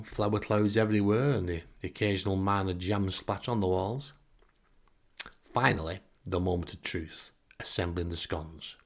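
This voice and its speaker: A man's voice: lowish voice, vocal fry. A man with a Northern English accent telling comic first-person stories in a wry, self-deprecating way with theatrical emphasis. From northern England. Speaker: storyteller and host.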